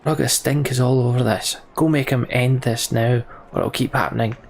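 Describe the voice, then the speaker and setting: A grim, resolute warrior from a fantasy game, read out by a man. The delivery is commanding and urgent with a gravelly edge, recorded with faint noise behind. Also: medium pitch, steady tone, normal speed, natural voice. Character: grim resolute male warrior leading fighters.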